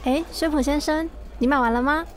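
A woman's voice: high voice, a little fast, noisy background, natural voice. An actress playing a chatty, friendly woman at a shop who encourages others, in radio drama dialogue.

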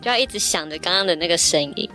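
A woman's voice: fairly low pitch, rapid-fire, bright, forceful tone, faint music behind. A woman chatting casually and informally, often giggling, as a voice actor guest. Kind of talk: casual chat.

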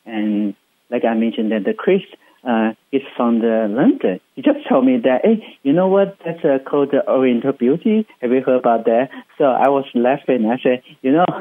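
A man with a Taiwanese accent and Chinese as his first language, chatting as an interview guest in enthusiastic, explanatory, halting English. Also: medium pitch, no room sound. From Hsinchu, Taiwan. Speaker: interview guest, travel writer and radio host. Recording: muffled, phone-like radio sound.

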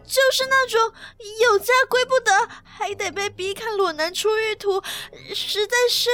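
A woman's voice: very high-pitched, unhurried, pushed and bright, dry recording, faint music behind, exaggerated voice. A woman playing a flustered, apologetic young female office assistant in a modern comedy radio drama, hesitant and deferential. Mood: flustered, pleading.

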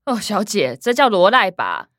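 A woman's voice: mid-range voice, huge pitch swings, moderate pace, fairly bright tone, no room sound, studio quality. A woman playing a blunt, impatient younger woman of a family, with a Taiwanese accent, in a radio drama. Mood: questioning.

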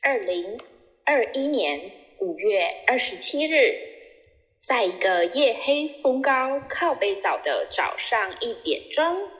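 A woman's voice: a little high, sing-song, slow, a bit bright. A woman narrating a scripted comic audio story. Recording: echoey hall sound.

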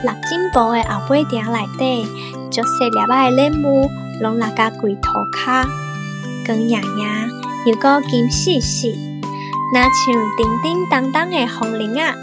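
A Taiwanese-accented woman reads a children's story aloud. Her voice is fairly high, and tinkling children's music plays loudly behind it. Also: a little slow. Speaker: picture book reader. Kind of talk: scripted children's story reading. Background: loud tinkling children's music.

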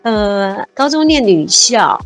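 A woman's voice: medium pitch, sing-song, slow, faint music behind. A woman, talking reflectively as an interview guest and laughing easily. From Taiwan. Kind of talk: casual interview chat.

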